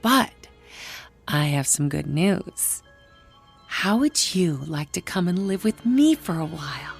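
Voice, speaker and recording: An actress playing an overbearing, disapproving aunt with an insistent, haughty manner in a radio drama. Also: female voice, fairly low pitch, big pitch swings, slow, creaky, heavily acted. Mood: cloying, persuasive. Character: overbearing, disapproving aunt fussing over bereaved children. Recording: studio radio play with music underneath.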